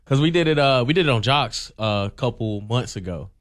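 A young man co-hosts a radio show in an easygoing, animated way. He talks into a studio mic and keeps the banter loose. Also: medium pitch, fairly quick. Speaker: radio co-host.

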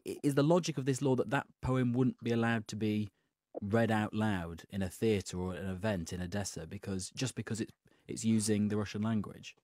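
Low-voiced man presenting radio news. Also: steady tone, brisk, a little mellow, no room sound, clean studio sound. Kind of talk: live news interview and programme links. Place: radio newsroom studio in London.

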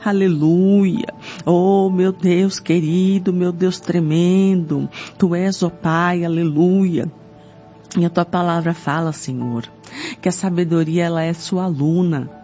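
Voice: mid-range voice, steady tone, slow pace, mellow. A Brazilian prayer leader pleading fervently in an extemporaneous evangelical prayer, punctuated by repeated invocations. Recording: dull lo-fi sound with music behind. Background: music.

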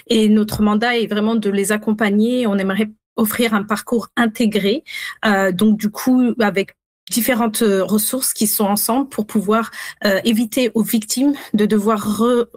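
A woman speaking as an expert guest in a radio interview, explaining things in a measured, careful way. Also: mid-range voice, steady tone, darkish tone, no echo. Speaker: child protection worker, interview guest.

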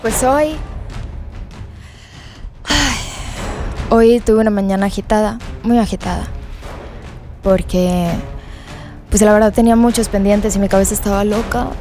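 A woman's voice: mid-range voice, measured pace, long pauses, mellow, soft background music. A Mexican woman from Mexico, an actress chatting animatedly and laughing often in a casual improv game. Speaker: actress and co-host.

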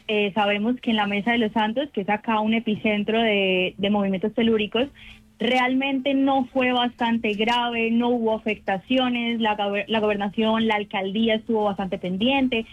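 A woman radio host speaking calmly and reassuringly in an on-air chat, reporting news to her colleagues. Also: on the high side. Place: radio station. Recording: lo-fi phone-like line.

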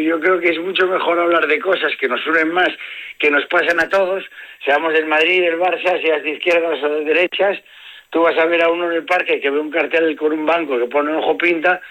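A man with an Asturian Spanish accent, a comedian guest telling stories in a relaxed interview, laughing often and shifting between slow, stretched phrases and quick bursts. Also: on the high side, muffled recording. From Asturias, Spain. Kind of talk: casual interview chat.

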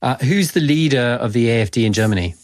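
Guy hosting a news show, mid-pitched, quick questions, dry studio sound. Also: animated, dry recording. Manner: brisk, questioning. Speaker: news program host. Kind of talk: news interview.

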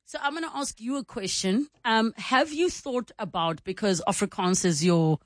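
A woman hosts a relaxed radio conversation. She is chatty, laughs easily and keeps asking her guests questions. Her voice sits mid-range and sounds dry and close. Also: medium pitch, normal speed, no room sound. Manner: chatty, laughs often, asks probing questions. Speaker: radio host. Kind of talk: casual on-air chat.

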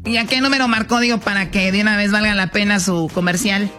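A woman's voice: medium pitch, steady tone, quick pace, pushed and bright. A woman, a radio co-host chatting in casual on-air banter. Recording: music behind the voice.

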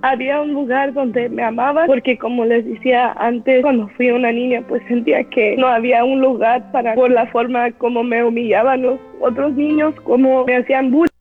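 A woman giving a personal faith testimony, earnest and halting, tagging many phrases with a question. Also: fairly high pitch, steady tone. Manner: earnest, halting, keeps adding "¿verdad?". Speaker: church member giving a testimony. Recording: tinny phone-like line with faint music.

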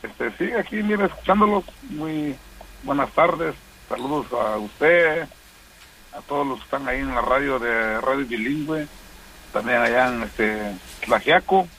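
A man's voice: mid-range voice, animated, a little slow, fairly bright tone, a little hiss or hum, poor audio. A man from Oaxaca, Mexico, calling in to a radio show to send long greetings, rambling with many hesitant fillers. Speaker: radio caller. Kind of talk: casual phone-in greetings.

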